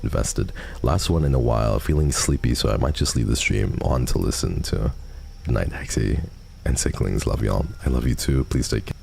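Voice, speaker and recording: Very deep, gravelly male streamer. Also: moderate pace, darkish tone. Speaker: livestream host. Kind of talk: casual livestream chat, reading and answering viewer messages. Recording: faint background noise.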